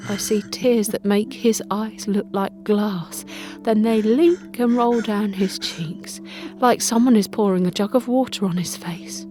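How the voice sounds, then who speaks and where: A woman's voice: medium pitch, a little slow, a little mellow. A woman narrating a dramatic story as an audiobook, with an intimate, emotive delivery. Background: music.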